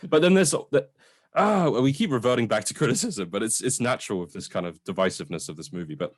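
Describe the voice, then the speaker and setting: A man's voice: mid-range voice, lively intonation, quick pace, slightly rough. A man co-hosting a casual review discussion, speaking informally and opinionatedly with some swearing. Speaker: podcast co-host reviewing films.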